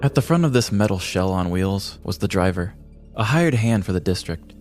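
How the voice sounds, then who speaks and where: A man's voice: deep voice, animated, moderate pace, darkish tone. An American man in his thirties reading scripted comedic story narration as a podcast host. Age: 30s. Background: faint music.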